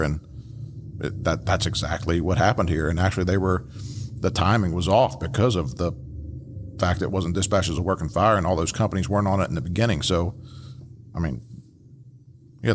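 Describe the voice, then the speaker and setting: A man's voice: low voice, a little hiss or hum. A man co-hosting a podcast, talking through an analysis casually and emphatically, repeating phrases for stress. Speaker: podcast co-host and fire service trainer.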